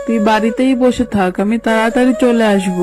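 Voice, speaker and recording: A woman's voice: a little high, brisk, lightly acted. A woman voicing a scolding, exasperated village mother in a children's cartoon. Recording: background music under voice.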